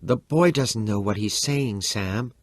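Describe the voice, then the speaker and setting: Man voicing a calm, cold stepmother. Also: on the low side, slow pace, no echo, clean studio sound, voice pushed up. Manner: quiet, even and controlled.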